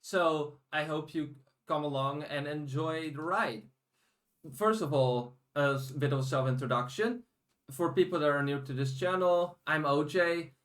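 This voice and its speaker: A man's voice: on the high side, measured pace, a bit bright. A man hosting a podcast in a casual, informal and blunt chatting style. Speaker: podcast host.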